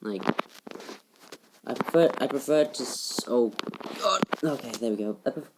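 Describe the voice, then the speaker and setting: A teenage boy giving casual gameplay commentary in a halting, rambling way, full of restarts, recorded in a shared room at home. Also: mid-range voice, background noise. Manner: subdued, somewhat flat delivery. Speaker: gaming YouTuber.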